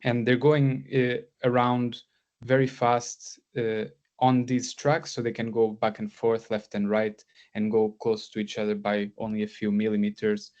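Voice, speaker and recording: A man's voice: lowish voice, completely flat delivery, a little slow, a little mellow. A man in his thirties from Portugal gives a guest lecture over a video call, talking with frequent fillers and restarts. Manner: hesitant, many fillers and restarts. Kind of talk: academic lecture. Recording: slightly dull lo-fi sound.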